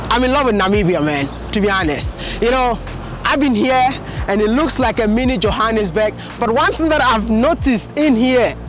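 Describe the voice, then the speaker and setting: A woman hosting a travel vlog in a casual, enthusiastic, exclamatory way. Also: on the high side.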